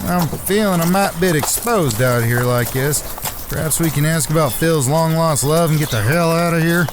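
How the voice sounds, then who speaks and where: A man's voice: a little high, normal speed, noisy background, natural voice. An actor playing a hot-headed, foul-mouthed younger-brother cowboy, crude and folksy in his complaints, in a Western audio drama. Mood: grumbling.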